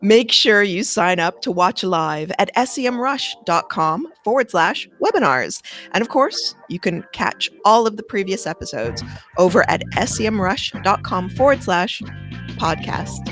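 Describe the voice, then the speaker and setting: A woman's voice: medium pitch, very animated, a little slow, a bit bright, soft background music. A woman with a Canadian accent, co-hosting a marketing podcast in a joking, chatty manner. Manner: joking, laughs often. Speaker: co-host. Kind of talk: casual podcast chat.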